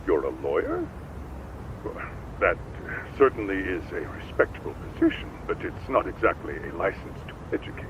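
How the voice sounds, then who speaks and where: A man's voice: sits low, big pitch swings, moderate pace. A man voicing a polite, officious school administrator in a video game, formal and fussy, over dull, noisy audio. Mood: politely skeptical.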